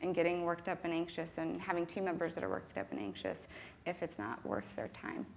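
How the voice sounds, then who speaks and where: A woman moderating a live panel and answering in a steady, plainspoken way. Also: on the low side, a little fast, noisy background. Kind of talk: panel discussion.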